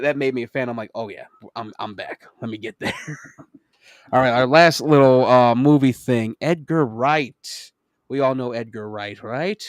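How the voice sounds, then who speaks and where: A man co-hosting a casual podcast, talking excitedly, laughing often and swearing freely. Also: medium pitch, no echo, studio quality. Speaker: podcast co-host. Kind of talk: casual chat.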